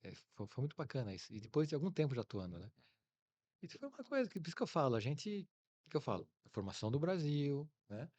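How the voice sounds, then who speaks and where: A man's voice: on the high side, very animated, quick pace, darkish tone, muffled recording. Brazilian man telling stories as an interview guest. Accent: Brazilian Portuguese. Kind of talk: casual anecdotal interview talk.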